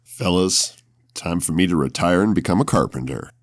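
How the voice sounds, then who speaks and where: A man's voice: low voice, expressive, moderate pace, dry recording, studio quality. A man playing a tabletop roleplaying game, casually talking through what his character will do.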